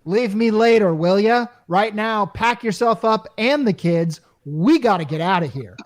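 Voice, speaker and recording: Stammering, harried husband, acted out. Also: male voice, high-pitched, a little slow. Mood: urgent, panicky. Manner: stammering, excitable, slangy. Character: frantic, harried everyman repairman and husband. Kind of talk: 1950s radio comedy acting.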